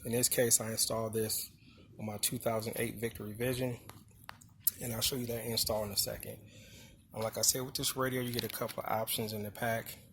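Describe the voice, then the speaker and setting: A man's voice: lowish voice, level tone, brisk, a bit bright. A man casually walking through motorcycle gear in an informal, unscripted way, as in a vlog. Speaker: motorcycle vlogger showing his gear. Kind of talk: casual product walkthrough. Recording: handheld video with clear background noise.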